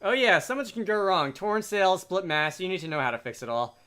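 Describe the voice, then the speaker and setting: A man voicing a plucky young sailor from a game in a hearty, animated way. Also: sits high, a little fast. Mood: matter-of-fact. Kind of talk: reading game dialogue aloud. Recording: game music behind.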